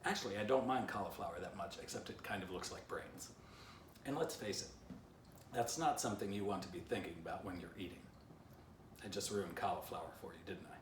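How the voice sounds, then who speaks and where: A man with a fairly low voice reads a children's story aloud, chatting playfully to the listener. The recording is echoey and a bit distant from the mic, with faint noise. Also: brisk. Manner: playful direct address to the listener. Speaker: author reading aloud.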